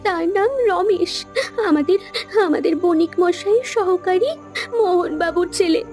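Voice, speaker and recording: Cartoon jilted young woman, female actor: extremely high voice, plaintive, hesitant. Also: measured pace, darkish tone, soft background music. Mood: hurt. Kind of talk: Bengali cartoon story acting.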